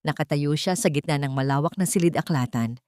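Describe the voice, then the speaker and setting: A Filipino woman narrates a scripted love drama in a low voice. The delivery is dramatic storytelling, and the recording is dry and close. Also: brisk, darkish tone, dry recording.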